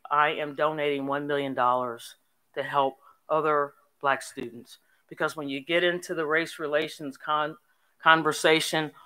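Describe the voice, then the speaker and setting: An older woman delivers an inspirational graduation address from a podium. Her voice is bright and projected, with a smooth, crisp tone. She speaks earnestly and leans on key words in deliberate phrases. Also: low-pitched. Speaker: commencement speaker. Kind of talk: formal inspirational public speech. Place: on stage in a large hall at a graduation ceremony. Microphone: podium microphone.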